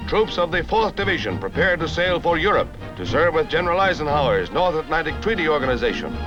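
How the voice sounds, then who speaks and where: Animated high-pitched American newsreel announcer, vintage sound. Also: male voice. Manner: brisk, dramatic announcer delivery. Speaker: newsreel narrator. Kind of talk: 1950s theatrical newsreel narration. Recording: dull vintage newsreel soundtrack, loud music.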